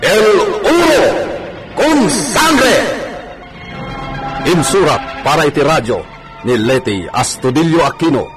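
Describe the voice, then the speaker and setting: A man's voice: on the high side, big pitch swings, slow, pauses often, music in the background. A man announcing a radio serial in a dramatic, scripted introduction style.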